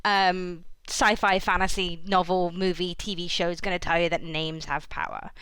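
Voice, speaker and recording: A woman talking through ideas about an anime on a podcast, measured and full of fillers. Her voice is smooth and clear. It is recorded close and dry. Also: on the low side, little pitch movement, fairly bright tone, dry recording. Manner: measured, thinking aloud with fillers. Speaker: podcast co-host. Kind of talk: casual anime discussion.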